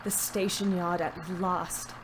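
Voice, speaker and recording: A woman's voice: on the low side, flat delivery, takes it slowly. An actress playing a bitter, weary young woman who drives an ambulance in the Great War, narrating with sardonic wit in an audio drama. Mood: weary, wry. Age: young adult. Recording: background noise and ambience behind voice.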